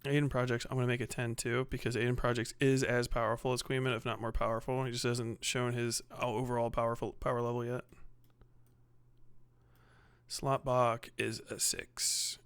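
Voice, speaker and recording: A man's voice: mid-range voice, little pitch movement, normal speed, fairly bright tone, clear, resonant tone, dry recording. Profane man muttering on a livestream. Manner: profane, muttering to himself while working. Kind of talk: casual stream commentary.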